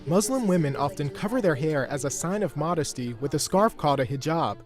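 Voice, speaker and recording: A man narrates a news feature in a measured, informative voice. His pitch sits a little higher than most men's. Soft music plays underneath him. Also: lively intonation.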